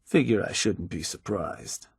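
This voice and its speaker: Casting: a laid-back raider gang fixer for a game, low voice, dry and matter-of-fact. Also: male voice, animated, measured pace, vocal fry, breathy edge, no room sound, heavily acted. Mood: businesslike. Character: laid-back male raider fixer serving his boss. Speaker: gang fixer taking orders. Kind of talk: video game dialogue.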